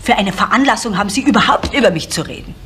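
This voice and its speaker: A reproachful middle-aged woman acted by a woman in a seventies radio crime play. She is formal, guarded and indignant, at a medium pitch. A faint noise sits in the recording. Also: expressive, quick pace, a bit bright, close to an everyday voice. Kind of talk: 1970s German radio drama acting.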